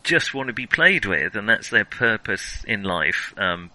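A man's voice: lowish voice, very animated, unhurried, bright, forceful tone, poor audio. A man hosting a casual film discussion, thinking aloud and often rephrasing mid-thought. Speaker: podcast host.

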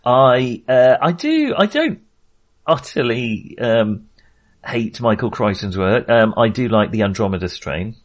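A man's voice: medium pitch, sing-song, unhurried. A man co-hosting a film podcast, talking casually in a hesitant, self-qualifying way with real enthusiasm.

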